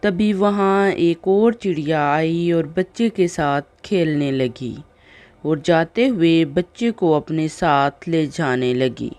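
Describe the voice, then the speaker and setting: A woman narrating a cartoon story in a slow, even storytelling way. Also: sits low, no echo.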